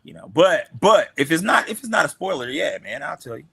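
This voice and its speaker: A man's voice: a little high, sing-song, brisk, vocal fry, a bit bright, husky, dry recording. A man, the creator of a comic, chatting casually with his cast on a livestream, rambling with lots of fillers and restarts. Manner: rambling, many fillers and restarts, laughs often. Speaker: creator of the comic, the cast's boss.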